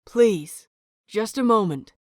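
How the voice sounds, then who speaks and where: Woman as an earnest, measured young male disciple. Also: mid-range voice, animated, darkish tone, dry recording, studio quality. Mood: urgent pleading. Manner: earnest, measured, pleading with restraint. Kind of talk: dramatised audiobook reading.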